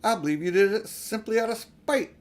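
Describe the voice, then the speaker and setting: A man's voice: high-pitched, brisk, voice pushed up, lightly acted. A man reading a storybook, voicing an indignant, protective older brother who scolds emphatically. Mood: angry, scolding.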